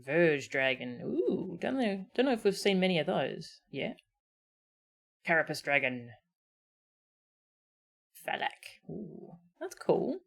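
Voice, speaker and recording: A woman's voice: lowish voice, big pitch swings, takes it slowly, gravelly, long pauses. A woman streamer giving casual, enthusiastic reaction commentary on a game. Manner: enthusiastic, admiring asides.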